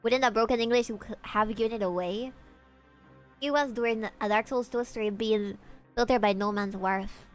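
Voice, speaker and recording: A young woman from Peru, a native Spanish speaker, chats through a game stream in a fairly high voice. She is animated and swears freely, and soft game music plays underneath. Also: moderate pace. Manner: chatty and animated, swears freely, reacts to chat. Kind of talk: casual gaming stream chatter.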